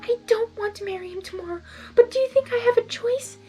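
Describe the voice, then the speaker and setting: A woman voicing a timid young female pony who is heartbroken and defiant, in a high, thin, tearful voice with emphatic, pleading delivery. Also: fairly quick, darkish tone, poor audio. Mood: angry, upset.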